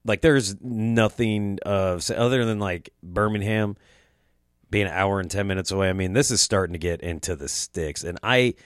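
A man's voice: low-pitched, moderate pace, no echo, clean studio sound. A young man hosting a podcast, telling a rambling, profane story in a casual monologue, his throat sore from allergies. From Quad Cities area, US Midwest. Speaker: podcast host.

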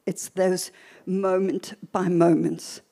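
An older woman preacher teaching a church sermon in a deliberate, emphatic way, with short pauses and repeated phrases. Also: fairly low pitch, little pitch movement, vocal fry, warm tone.

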